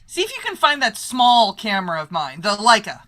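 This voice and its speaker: Woman playing a brash, lively radio-drama newswoman. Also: mid-range voice, brisk. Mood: brisk. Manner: snappy, slangy, wisecracking. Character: brash, bossy woman news photographer chasing trouble. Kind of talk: old-time radio drama acting.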